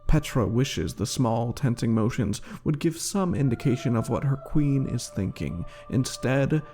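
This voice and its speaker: A man reading a scripted story in a theatrical, measured narrator's style. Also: mid-range voice, warm tone. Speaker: game lore reader and streamer.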